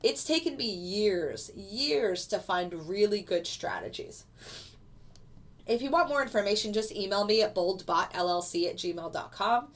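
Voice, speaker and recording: A woman's voice: mid-range voice, lively intonation, measured pace. A woman who teaches trading, casually explaining her settings and walking viewers through a live chart as a trade plays out.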